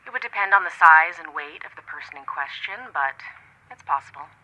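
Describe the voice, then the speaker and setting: A woman's voice: low-pitched, brisk, bright, forceful tone. An actress playing a polite, precise female forensics investigator in an audio drama, heard through a telephone filter. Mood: measured, grave. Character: apologetic, professional female forensics investigator on late-night call. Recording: narrow telephone-line sound.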